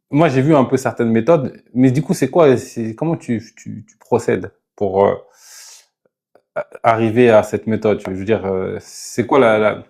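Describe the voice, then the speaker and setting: A man hosting a live online discussion, asking questions in a casual, tentative way with relaxed slang. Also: on the low side, vocal fry.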